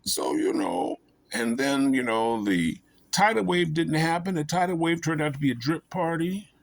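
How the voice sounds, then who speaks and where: A man's voice: fairly high pitch, lively intonation, normal speed, creaky, dry recording. A man vlogging in a casual, comedic storytelling style, rambling and folksy, often making fun of himself. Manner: rambling, self-mocking, folksy.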